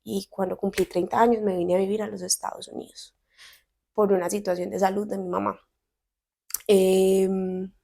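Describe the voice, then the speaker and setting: A woman's voice: medium pitch, creaky. A woman in her thirties from Colombia with a Medellín accent, hosting a casual solo podcast in a chatty, candid way with slang. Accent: Medellín Colombian Spanish. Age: 30s. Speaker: podcast host.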